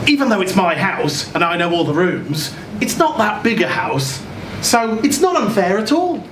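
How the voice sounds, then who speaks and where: A man's voice: sits high, sing-song, moderate pace. A middle-aged man reading a short story dramatically as a naive, chatty, frightened child, with hesitant, stammered repeats. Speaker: reader at a live short story event. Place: small indoor event room. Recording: roomy, distant camera recording with loud noise.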